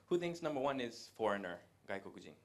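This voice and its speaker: A man, giving a live presentation to an audience in a conversational way, pausing often to hesitate. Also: mid-range voice, very animated, a little mellow. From Latin America. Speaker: guest speaker. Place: event room with a live audience.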